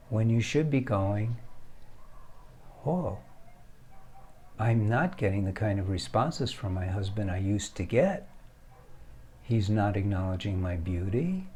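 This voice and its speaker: A middle-aged man talks unhurriedly to his viewers about marriage, weighing each phrase. His voice sits at an ordinary pitch, and he speaks to the listener directly in a calm, instructive way. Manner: slow, deliberate, addresses the viewer directly. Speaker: marriage counselor and foundation founder. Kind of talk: direct-to-camera advice talk.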